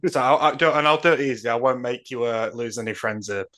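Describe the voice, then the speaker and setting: A man co-hosting a podcast in casual chat, teasing and joking with his guests. His pitch is middle for a man and he talks in a relaxed, everyday way. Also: quick pace. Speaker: podcast co-host.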